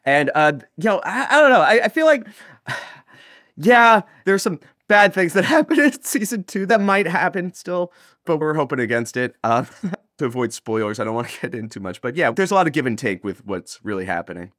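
A man chatting casually as an interview guest, animated and joking, with trailing asides. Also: fairly high pitch, normal speed, dry recording, studio quality. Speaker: audio drama writer and creator, interview guest. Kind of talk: casual conversational interview chat. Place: living room at home, on a video call.

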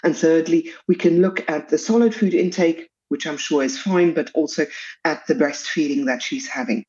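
South African woman with a low mellow voice. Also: highs cut off. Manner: measured, lists factors one by one. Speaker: pediatric dietitian guest. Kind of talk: expert explaining in a podcast interview.

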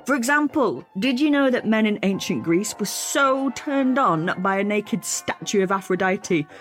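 A woman's voice: mid-range voice, lively intonation, moderate pace, soft background music. A woman podcast host, narrating a scripted promo in a playful, wry way.

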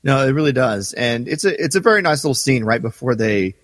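A man co-hosting a podcast in casual discussion, explaining things in a halting, circling way. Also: medium pitch, expressive, fairly bright tone, no echo, studio quality, long reverb tail. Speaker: podcast co-host. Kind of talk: casual discussion of a radio drama.

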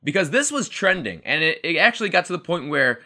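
A man's voice: on the high side, very animated, quick pace, pushed and bright. A man in his twenties co-hosting a casual sports podcast, chatty and rambling, laughing at himself. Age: 20s. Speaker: podcast co-host.

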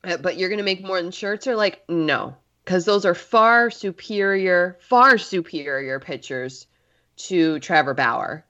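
A fairly low-voiced woman with a congested voice, chatting enthusiastically on a casual sports podcast. She often restarts her sentences. The recording sounds dull and lo-fi. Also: animated, measured pace, bright, forceful tone. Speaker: podcast co-host.

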